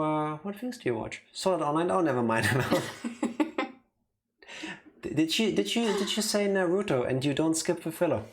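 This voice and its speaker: A man giving casual, teasing commentary as a let's play streamer, with a wry way of talking. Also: medium pitch. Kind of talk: casual joking game commentary.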